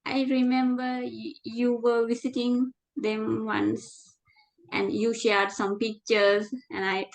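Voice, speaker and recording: Slow-talking woman interview host. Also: fairly high pitch, flat delivery, creaky, poor audio. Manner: friendly, many fillers, slightly halting. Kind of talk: casual conversation.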